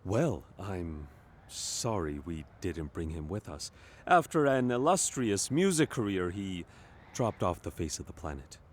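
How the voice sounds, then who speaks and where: Guy doing a wry earnest space captain, deepish voice, swoops around a lot, comedy radio play. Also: big pitch swings, unhurried, a little hiss or hum. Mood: puzzled, apologetic. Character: earnest, wry male starship captain, a wheelchair user. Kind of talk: comic sci-fi audio play acting.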